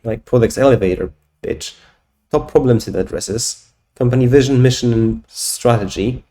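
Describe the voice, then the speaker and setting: A man's voice: lowish voice, dark tone. A man whose first language is Polish, in his thirties, casually walking through a screen demo and thinking aloud, with frequent restarts and fillers. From Poland. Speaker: tech video host. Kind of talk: casual screen-share walkthrough.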